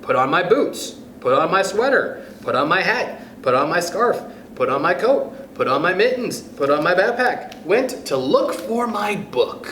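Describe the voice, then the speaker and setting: Male children's storytime reader, higher-pitched and very animated, addressing kids directly, recorded in a roomy space. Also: unhurried, hardly any pauses. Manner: expressive, addresses young listeners directly. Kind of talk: picture book read-aloud for children. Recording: roomy echo with faint background noise.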